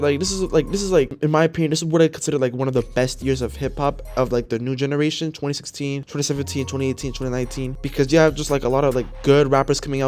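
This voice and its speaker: A young man talking casually about rap music in a laid-back way, speaking a little quieter than usual from a hotel room, often saying "you know what I'm saying.". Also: a little high. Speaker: music commentary YouTuber. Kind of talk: casual talking-head commentary.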